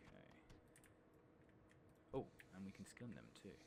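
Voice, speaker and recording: Very deep, gravelly, soft-spoken man. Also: huge pitch swings, a little slow, background noise. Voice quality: soft-spoken, often breathy whispering. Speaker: gaming channel host. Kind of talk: soft-spoken ASMR game playthrough commentary.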